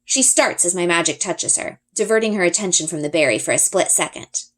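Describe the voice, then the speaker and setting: A woman reading a children's story aloud as an expressive first-person narrator. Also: on the low side, brisk. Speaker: reader.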